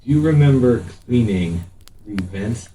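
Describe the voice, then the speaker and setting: A man's voice: medium pitch, lively intonation, unhurried, warm tone. A man narrating a tabletop game as game master in a casual, joking and sweary way. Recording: roomy, distant mic, faint background noise.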